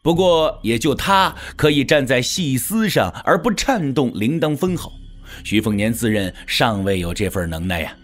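A male narrator tells a dramatic story as in an audio drama. His mid-pitched voice moves in lively, animated swings, with soft music underneath. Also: normal speed.

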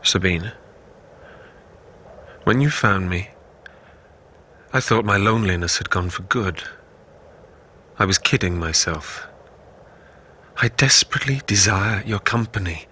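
A man playing a lonely, self-mocking artist, reading his letters aloud in an introspective, measured way for an audiobook. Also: low-pitched, pushed and bright, a little hiss or hum. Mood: lonely, yearning.

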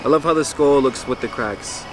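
A man with an ordinary mid-range voice talks through his vintage clothing finds with relaxed enthusiasm. He's outside on a street beside parked cars, and clear traffic noise sits under his voice. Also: medium pitch, moderate pace. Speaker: vintage clothing buyer showing his haul. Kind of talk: casual haul walkthrough.

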